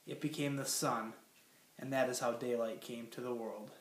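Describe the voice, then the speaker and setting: A man's voice: medium pitch, steady tone, normal speed. Young male teacher with a head cold, reads aloud plainly, roomy classroom sound from a distance. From Minnesota. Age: young adult. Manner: plain teacherly reading, many fillers. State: has a cold. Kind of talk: classroom lesson and story read-aloud.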